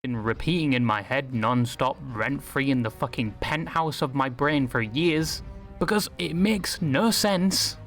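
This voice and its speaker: A man's voice: medium pitch, a little slow, a bit bright. A man delivering scripted satirical narration in a sarcastic, crude, hyperbolic way. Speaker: video essay narrator. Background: background music.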